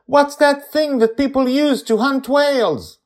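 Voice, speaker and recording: A man voicing a bold, eager nine-year-old girl in a story, shouting out excited questions and plans. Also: extremely high pitch, measured pace, voice pushed up.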